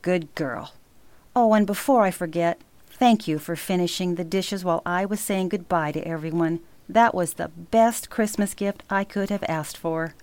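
A woman playing a loving, bustling grandmother in a sentimental radio play, expressive, chatty and affectionately teasing. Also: on the low side, moderate pace, no room sound, lightly acted.

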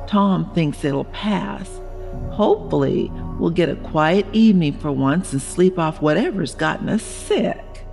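A woman playing an elderly wife in a horror audio story, reading her worried, genteel journal in a measured, wistful manner. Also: lowish voice, animated, gravelly, a little mellow, faint music behind. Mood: wistful, weary.

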